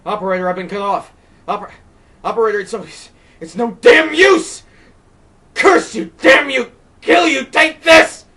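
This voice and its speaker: A man's voice: lively intonation, moderate pace, pauses often, breathy edge, recorded from a distance. A man voicing a frantic, terrified man who rages and pleads against the wind. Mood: raging. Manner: shrill, shouting, panicked.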